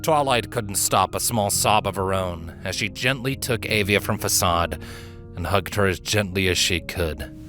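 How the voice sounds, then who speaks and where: A man reading fiction aloud as a dramatic story narrator, with theatrical, deliberate emphasis. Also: deep voice. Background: background music.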